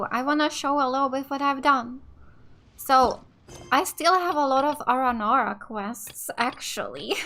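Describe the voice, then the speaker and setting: A woman's voice: high-pitched, a bit bright. A woman from Finland with a Finnish accent giving casual, slightly hesitant let's play commentary as a gaming YouTuber. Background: game music.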